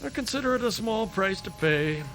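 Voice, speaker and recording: An actor playing a long-suffering older doctor in a radio drama, dry, eloquent and sardonic. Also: male voice, high voice, animated, a little fast, fairly bright tone, a little hiss or hum. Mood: wry, firm.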